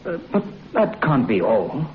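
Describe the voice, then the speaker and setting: A man's voice: mid-range voice, sing-song, a little slow, crowd noise. An actor playing an anxious, incredulous man in a radio horror play, stammering and agitated. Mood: shaken, insistent. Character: anxious, incredulous male listener to a horror tale. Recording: muffled, noisy old radio recording.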